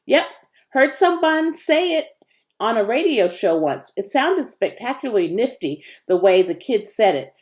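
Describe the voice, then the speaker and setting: A woman's voice: on the high side, very animated, unhurried, pitched above the performer's own voice. A woman voicing a laid-back, folksy, wisecracking boy in a children's storybook reading. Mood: jaunty, folksy.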